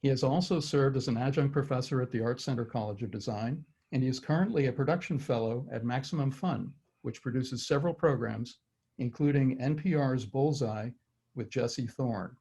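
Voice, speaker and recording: A man hosting an online forum, reading a guest's introduction in a steady, measured way over a video call. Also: medium pitch, breathy edge. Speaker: forum host.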